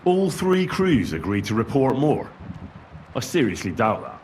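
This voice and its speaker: An actor playing a young medieval man calmly and firmly questioning suspects in a video game. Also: mid-range voice, measured pace, a little hiss or hum. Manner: calm, firm interrogating.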